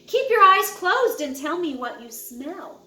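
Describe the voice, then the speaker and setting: A woman voicing a calm, encouraging woman mentor who patiently instructs children in a picture book. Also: high voice, a little slow, echoey room, full character voice. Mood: guiding. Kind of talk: children's audiobook character reading.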